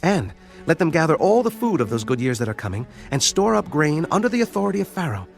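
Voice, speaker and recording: A man playing an earnest, wise young Hebrew interpreter of dreams, speaking in a measured, solemn way for an audio Bible drama. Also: fairly high pitch, big pitch swings, vocal fry, soft background music. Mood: solemn, assured.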